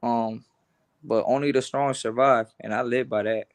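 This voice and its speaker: A man's voice: mid-range voice, fairly even intonation, normal speed. Young guy from LA and honduras, earnest tough-talking interview chat, repeats himself starting out. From Los Angeles and Honduras. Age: young adult. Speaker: boxer, interview guest.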